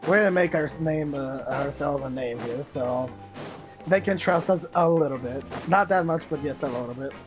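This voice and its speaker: A man's voice: fairly high pitch, moderate pace. A man giving casual gameplay commentary as he streams. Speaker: game streamer. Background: game music.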